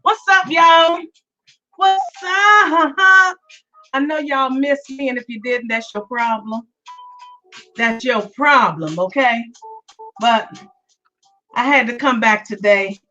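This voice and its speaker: A woman's voice: high-pitched, slow pace, pauses often, bright, forceful tone, soft background music. A woman hosting her own podcast live, chatting casually and repeating herself, her voice still weak from being sick, greeting listeners by name. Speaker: podcast host. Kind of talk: casual live-stream chat. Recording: dull lo-fi sound, a bit far.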